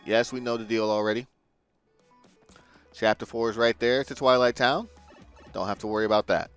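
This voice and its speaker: A man's voice: medium pitch, steady tone, moderate pace, smooth. A man casually commenting while playing a game, laid-back with crude jokes and mumbled asides. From Puerto Rico. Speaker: gaming video host. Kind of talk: casual let's-play commentary. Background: game music.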